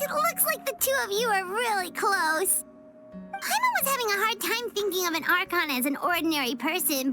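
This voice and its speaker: A woman voicing a tiny, excitable, blunt fairy girl companion in a video game, with a squeaky childlike voice. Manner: excitable, speaks of herself in third person. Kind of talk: animated video game voice acting. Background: background music.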